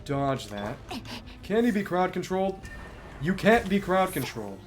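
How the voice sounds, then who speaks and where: A man's voice: high-pitched, very animated, measured pace, slight background noise. A man doing live gameplay commentary as a streamer, talking analytically through tactics to himself. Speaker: game streamer. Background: music behind the voice.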